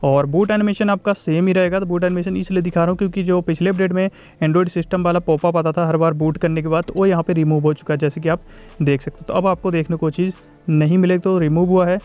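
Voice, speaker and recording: A man's voice: high-pitched, steady tone, fast talker, darkish tone, clear, resonant tone, faint music behind. A man giving a casual, repetitive tech review voice-over, mixing in English tech terms.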